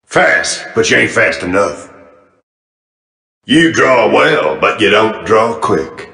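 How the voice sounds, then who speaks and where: A cocky, menacing Wild West gunslinger for a video game. He talks in a very slow Southern American drawl that swings dramatically up and down. His swagger rings out with a long, echoing reverb. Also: lowish voice, big pitch swings, creaky, sharp tone, full character voice. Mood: folksy taunting. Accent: American Southern cowboy drawl. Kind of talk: video game character voice lines.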